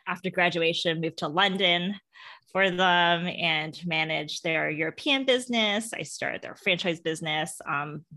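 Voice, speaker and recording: A woman in her thirties with a low, gravelly voice talks casually as a guest, telling her work history with plenty of ums. She grew up between Toronto and Hong Kong and runs her own company. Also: bright, forceful tone. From Canada and Hong Kong. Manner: chatty, frequent ums and laughs. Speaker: entrepreneur guest. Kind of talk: casual interview storytelling.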